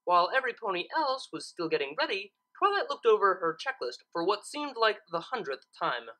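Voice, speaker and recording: A woman's voice: mid-range voice, sing-song, a little fast, a bit bright, poor audio. A woman reading a scripted comic story aloud as its narrator. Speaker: fan fiction reader.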